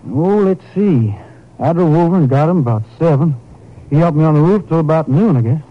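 An actor playing a folksy, hesitant rancher with colloquial country speech in a 1950s radio drama. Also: male voice, a little high, expressive, brisk. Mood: easygoing. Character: folksy rancher, hesitant friend covering for someone. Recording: dull 1950s radio recording.

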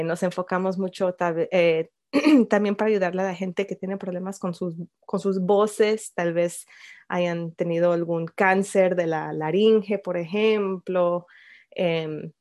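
A woman from Chicago, a bilingual speech-language therapist, answering interview questions in an unhurried way with many fillers and small laughs. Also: lowish voice, a little mellow. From Chicago, United States.

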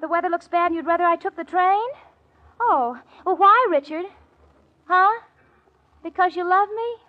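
Sensible but worked-up young woman, high voice, old radio comedy, dull recording with audience. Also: normal speed. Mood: tearful. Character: exasperated, anxious sensible young woman, long-suffering roommate. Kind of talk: 1940s radio comedy acting.

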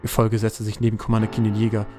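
A man's voice: lowish voice, completely flat delivery, fast, a little mellow. A man narrating a scripted fantasy audio drama as its storyteller. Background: music.

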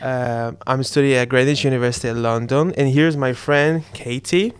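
A young man from Brazil with a Brazilian Portuguese accent, a university student speaking English as a second language, telling his faith story in a relaxed, halting way. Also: medium pitch. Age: young adult. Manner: relaxed, halting non-native phrasing. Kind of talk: casual faith testimony chat.

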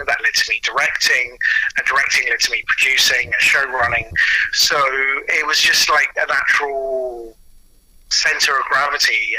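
A middle-aged British man with a very bright, forward voice talks as an interview guest. He is chatty and self-deprecating, telling anecdotes in a casual way. The sound is a little thin, like radio or a phone line. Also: medium pitch, slightly rough. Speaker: interview guest, audio drama producer and writer.

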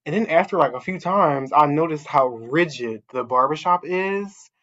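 Young adult man, chatty panel speaker, bright edgy tone, muffled lo-fi sound. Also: a little high, moderate pace. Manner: chatty, heavy use of "like" and "right?". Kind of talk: casual personal storytelling.

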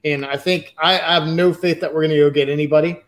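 A man venting in a casual sports chat, blunt and fed up, dropping the odd swear. His voice sits a little high for a man. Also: steady tone, fast talker. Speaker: podcast co-host.

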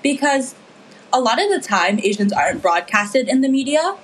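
A woman's voice: high voice, very animated, normal speed, husky, low-quality recording. A teenage girl from the Philippines talking earnestly and with feeling in a casual discussion, with frequent fillers. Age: teens. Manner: earnest, impassioned, many fillers.

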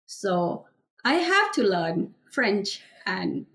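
A woman with a Thai accent speaks slowly in a podcast interview. She pauses often and fills the gaps with short fillers as she gathers her thoughts. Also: medium pitch, sing-song, creaky, fairly bright tone, low-quality recording. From Thailand. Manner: hesitant, many fillers, chuckles lightly. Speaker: heritage language teacher. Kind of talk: interview answer.

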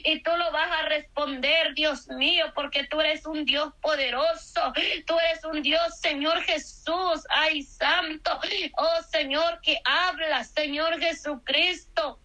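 A woman leading a fervent spoken prayer, with slow, repetitive invocations. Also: high voice, steady tone, sharp tone. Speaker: prayer leader.

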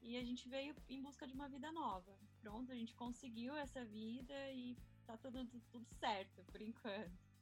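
A woman's voice: fairly high pitch, normal speed, soft background music. A woman in her twenties from Brazil, chatting casually as a podcast guest. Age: 20s. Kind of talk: casual introduction and chat.

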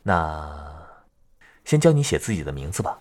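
A man playing a gentle, caring young village teacher of ancient China in a period romance audio drama, tender, patient and unhurried. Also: extremely low pitch, very animated, exaggerated voice.

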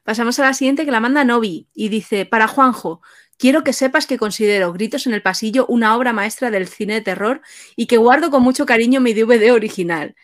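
A woman's voice: on the high side, brisk. A woman hosting a casual interview and reading out audience questions.

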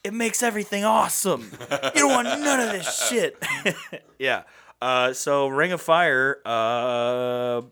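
A hoarse man co-hosting a comedy podcast, joking and laughing often, then singing crude karaoke parodies. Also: a little high, expressive, takes it slowly, pushed and bright. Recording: music backing track behind.

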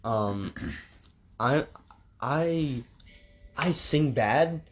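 A man's voice: medium pitch, expressive, very slow. A young man hosting a casual podcast with friends, rambling with fillers and restarts while a guitar plays behind him. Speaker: podcast host. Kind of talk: casual chat among friends. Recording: guitar playing behind the talk.

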